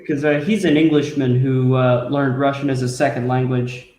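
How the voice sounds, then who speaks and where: A man's voice: medium pitch, steady tone, moderate pace, darkish tone. Easygoing podcast cohost guy, mid voice, says yeah a lot, roomy echo. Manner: agreeable, frequent yeah backchannels. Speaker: podcast co-host. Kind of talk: casual interview chat. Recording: echoey room.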